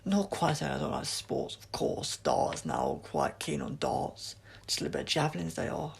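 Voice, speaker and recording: An eccentric, chatty hero of a storybook adventure, put on by a male reader. His pitch swings about in a playful, exclamatory way, with some gravel in the tone. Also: wildly swooping pitch, normal speed, exaggerated voice. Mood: breezy stalling.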